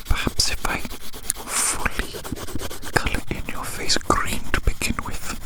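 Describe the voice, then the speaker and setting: A woman whispering a rambling ASMR roleplay very close to the microphone, softly repeating her phrases in a breathy, unvoiced voice. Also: low-quality recording. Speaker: ASMR performer.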